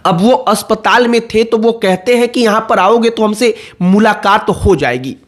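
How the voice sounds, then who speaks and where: A man's voice: high-pitched, normal speed. Man explaining political news. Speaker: political commentator, host.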